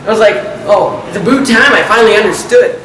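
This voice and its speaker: A man's voice: sits high, fast, fairly bright tone. A man playing a silly, earnest Canadian backwoodsman with a Canadian accent, in improvised comic banter full of questioning tags. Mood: storytelling. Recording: very echoey, distant, some background noise.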